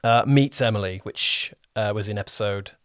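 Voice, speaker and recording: A man's voice: fairly low pitch, normal speed, a bit bright, clean tone, no room sound, studio quality. A man hosting a casual interview, rambling and often rephrasing his questions as he goes. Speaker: podcast host and series producer.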